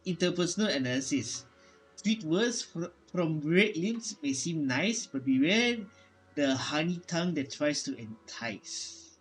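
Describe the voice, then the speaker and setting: A man reading game dialogue aloud during a playthrough, halting and stumbling over words as he goes. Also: a little high, animated, breathy edge, faint music behind, low-quality recording. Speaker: gaming streamer.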